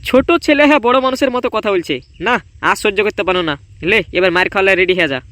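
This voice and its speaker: Man voicing a high, rapid-fire hot-headed cartoon boy. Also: expressive, a bit bright. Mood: angry, threatening. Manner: defiant, scolding, rapid-fire. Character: hot-headed older boy guarding a secret paper. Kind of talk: amateur comedy cartoon dub.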